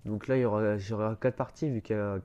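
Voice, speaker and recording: A man's voice: fairly low pitch, steady tone, fast talker, dark tone, muffled recording, a little hiss or hum. A man with a blocked, stuffy nose giving casual gameplay commentary, rambling and hesitant, full of fillers and restarts. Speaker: gaming YouTuber.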